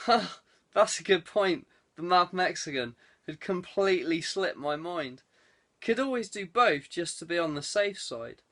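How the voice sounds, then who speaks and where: A man's voice: sits high, animated, unhurried, a bit bright, exaggerated voice. A man voicing a jaunty, playfully posh ex-cadet saboteur with breezy banter in an audiobook. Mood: gleeful.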